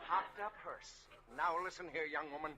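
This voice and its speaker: A man playing a gruff, easily offended, blustering motorist with a barking delivery in an old radio comedy. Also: on the high side, a little fast, crowd noise, lightly acted. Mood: indignant. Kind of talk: 1940s-50s American radio comedy acting. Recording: old radio recording, loud audience.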